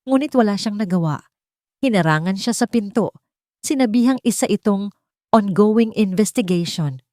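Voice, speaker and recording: A woman reading scripted dramatic narration as a storyteller, with deliberate phrasing. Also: medium pitch, lively intonation, darkish tone, no room sound, clean studio sound.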